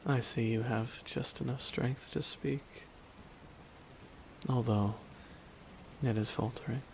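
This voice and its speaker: A man playing a wry, reflective supervillain in an ASMR audio drama, speaking slowly and softly with wistful pauses. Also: mid-range voice, fairly even intonation, gravelly, slight background noise, low-quality recording. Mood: wistful, resigned. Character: reflective male supervillain, wry, quietly softened toward his nemesis. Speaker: voice actor in audio roleplay. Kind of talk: ASMR dramatic roleplay monologue. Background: rain.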